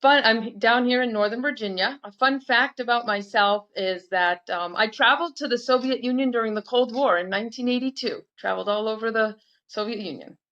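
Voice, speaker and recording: A woman in her sixties from the United States, a podcast guest speaking in a thoughtful, measured way with small hesitations. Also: a little high, a bit bright. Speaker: guest, nurse practitioner. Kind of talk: conversational podcast interview. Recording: dull lo-fi remote audio.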